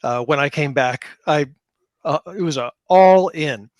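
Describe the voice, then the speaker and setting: A man's voice: on the high side, unhurried, dry recording. A middle-aged man telling anecdotes casually as an interview guest, repeating words for emphasis. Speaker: podcast guest, game creator; high school teacher.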